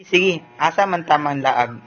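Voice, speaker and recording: A man's voice: a little high, measured pace, a bit bright, a little hiss or hum. A man playing an easygoing young guy with a Filipino accent in an amateur radio drama. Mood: curious. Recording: dull lo-fi audio under music.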